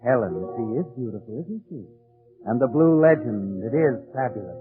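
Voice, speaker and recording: A man plays an ominous, all-knowing radio suspense narrator, insinuating and dramatic. His voice is medium-pitched, set over prominent music on a thin, tinny 1940s recording. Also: expressive, normal speed, lightly acted. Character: sinister, knowing narrator addressing the schemer as his conscience.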